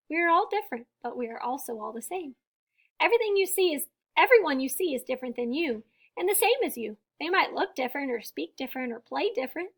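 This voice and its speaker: A woman reading a children's picture book aloud, with deliberate, emphatic phrasing and small explanatory asides for young listeners. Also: high voice. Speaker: storybook reader and teacher.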